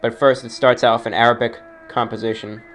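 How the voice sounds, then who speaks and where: Guy hosting a radio type show, mid pitch voice, informal but announcer-ish and emphatic. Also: level tone, a little fast, over music. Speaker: show host.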